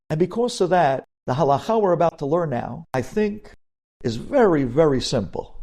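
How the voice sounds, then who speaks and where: A male rabbi delivers a religious lesson in a soft-edged, mellow voice at a middling pitch. He teaches emphatically, repeating key phrases for weight and putting rhetorical questions before answering them.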